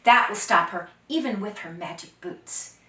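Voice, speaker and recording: A woman voicing a confident, boastful young man in a told folktale, loud and emphatic. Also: medium pitch, moderate pace, close to an everyday voice. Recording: roomy echo, distant microphone.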